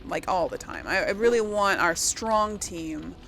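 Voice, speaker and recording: A woman's voice: mid-range voice, a little slow, creaky, fairly bright tone, a little hiss or hum. A woman giving casual let's play commentary as host, rambling as she thinks aloud and corrects herself. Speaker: gaming video host.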